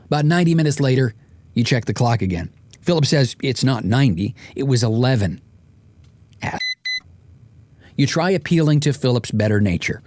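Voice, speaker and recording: A man's voice: mid-range voice, animated, moderate pace, long pauses, darkish tone. A man reading a scripted audiobook as its author, telling it in a wry, comic way with exasperated emphasis. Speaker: author and podcast host narrating.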